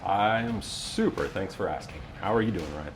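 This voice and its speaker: A man's voice: deep voice, normal speed, a little hiss or hum. A man who works in voiceover, chatting casually as an interview guest with a playful, wry manner. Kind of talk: casual chat.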